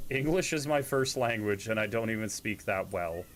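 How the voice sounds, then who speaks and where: A man's voice: on the low side, animated, normal speed, a little hiss or hum. A man with a stuffy, congested, slightly nasal voice, chatting casually and self-deprecatingly while streaming a game. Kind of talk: casual live-stream chat while gaming.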